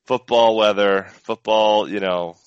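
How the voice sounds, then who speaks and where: Dull, slightly lo-fi audio carries a low-voiced American man co-hosting a sports podcast. He chats in an easygoing, conversational way and often repeats phrases. The sound is dry and free of echo. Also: steady tone, a little slow, clean tone. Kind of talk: casual sports chat. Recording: dull, band-limited audio.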